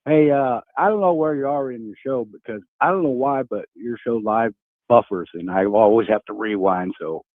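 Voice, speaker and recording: A man's voice: mid-range voice, normal speed, no room sound. A man from Oklahoma calling in, rambling and a little hesitant. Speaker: caller. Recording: tinny phone line.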